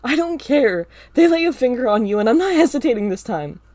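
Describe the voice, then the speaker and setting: A woman voicing a desperate young man who shouts pleas while protecting his friend. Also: a little high, sing-song, fairly quick, no room sound, voice pushed up. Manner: loud, pleading shouts.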